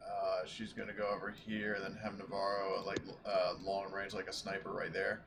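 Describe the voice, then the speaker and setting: A man's voice: deep voice, completely flat delivery, a little fast, creaky, background noise. A man playing a tabletop game, chatting casually in a joking, sweary way.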